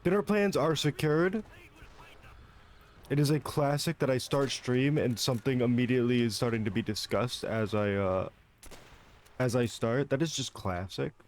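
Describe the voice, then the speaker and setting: A man's voice: medium pitch, moderate pace. A young man giving casual, self-mocking commentary while streaming a shooter game, swearing and laughing between shots.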